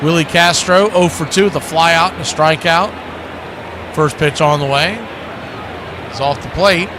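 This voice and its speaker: A man with a fairly high voice calls a baseball game play by play. He is conversational, stretching out some calls and slipping in quick asides. Clear background noise runs under his voice. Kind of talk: live play-by-play commentary.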